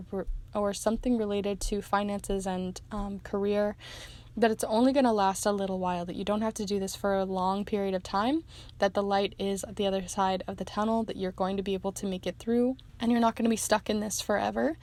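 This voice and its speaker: A young woman reading pick-a-card charms and tarot for viewers, talking gently and meandering with frequent ums, her voice going hoarse. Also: medium pitch. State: losing her voice.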